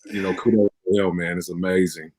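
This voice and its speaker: A man in a casual interview as a radio drama cast member, talking haltingly and repeating his phrases. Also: low voice, steady tone, a little mellow.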